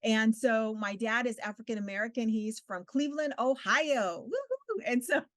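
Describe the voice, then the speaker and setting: A woman's voice: fairly high pitch, expressive, moderate pace, fairly bright tone, no echo. A middle-aged woman from Southern California whose first language is Spanish, speaking earnestly as an interview guest, laughing often and using many fillers. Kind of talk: interview conversation.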